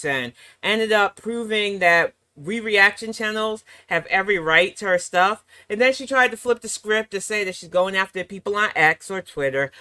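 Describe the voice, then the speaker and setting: Sassy slightly hoarse woman streamer. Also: mid-range voice, bright, forceful tone, dry recording. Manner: sassy, mocking asides. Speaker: reaction channel host. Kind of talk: casual live-stream chat.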